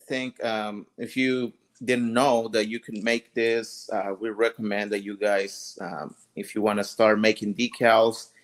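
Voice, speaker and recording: A man from Mexico with a Mexican Spanish accent, sharing business tips in an easygoing, hesitant way. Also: lowish voice. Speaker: small business owner. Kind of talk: casual tutorial chat.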